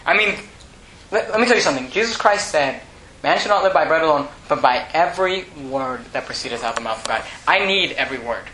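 A man's voice: on the high side, animated, quick pace, bright, forceful tone. A man from Venezuela whose first language is Spanish, preaching a sermon with emphatic rhetorical questions and frequent restarts. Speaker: pastor. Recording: echoey room with faint noise.

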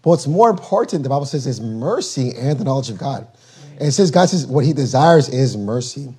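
A man preaching a sermon while losing his voice, reading scripture slowly and deliberately, then explaining it in plain words. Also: medium pitch, expressive, dark tone. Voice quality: husky. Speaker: guest preacher.

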